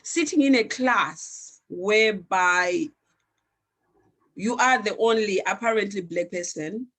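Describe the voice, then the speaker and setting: A woman from Zimbabwe, raised speaking Shona, talks at a slow, unhurried pace on a discussion panel. Her speech is earnest and reflective, with frequent pauses and restarts as she gathers her thoughts. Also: mid-range voice, a bit bright. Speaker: panelist, founder of an arts organization.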